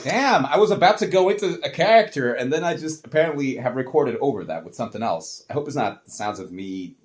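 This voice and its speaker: A man's voice: medium pitch, fast talker, slight background noise. A man, talking casually and swearing freely as he mocks himself. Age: adult. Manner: animated, profane, self-mocking. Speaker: host. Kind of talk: casual commentary reacting to old tapes.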